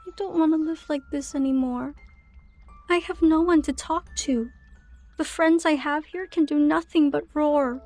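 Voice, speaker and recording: A woman's voice: sits high, dark tone. A woman voicing a lonely, clingy, childlike girl of the forest in a video game, with a wistful, pleading way of talking.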